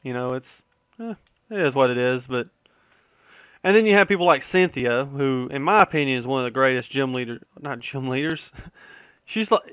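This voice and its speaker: A man's voice: mid-range voice, expressive, normal speed, fairly bright tone, clear, resonant tone, dry recording, studio quality. A man giving casual, rambling gaming commentary, conversational and opinionated, often trailing off and correcting himself. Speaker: gaming video host.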